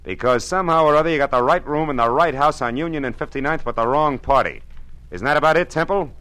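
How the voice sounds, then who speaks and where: A man's voice: fairly high pitch, animated, fast talker, fairly bright tone, no echo, rough recording. An actor playing a hard-boiled male private detective who narrates in a dry, wisecracking way, in a 1940s radio drama. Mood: accusing. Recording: old radio broadcast with faint music.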